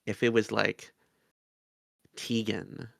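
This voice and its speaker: Low, creaky-voiced male podcast co-host. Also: flat delivery, slow pace, a bit bright, dry recording, studio quality. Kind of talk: casual chat.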